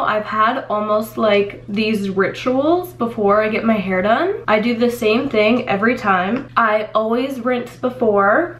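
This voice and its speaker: Sniffly young woman chatting to camera. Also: fairly high pitch. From Canada. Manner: chatty, upbeat, lots of "like" fillers. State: sniffly, voice a little off from a cold. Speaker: vlogger. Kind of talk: casual chatty vlog to camera. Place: small bedroom, indoors. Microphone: compact camera on a desk at close range.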